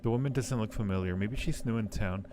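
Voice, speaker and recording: A man's voice: lowish voice, fairly quick. A man live streaming a game, reading its text aloud and chatting casually with viewers as he muses. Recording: music playing behind the voice. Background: music.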